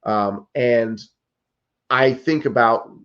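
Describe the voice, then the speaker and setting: A man in his fifties speaks in an earnest, pressing way, stumbling over restarts and leaning on "like" and "you know." His voice sits at a middle pitch. The audio is dull and muffled, as if it were coming through a video call. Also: very slow. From United States. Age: 50s. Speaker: political candidate. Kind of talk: interview answers.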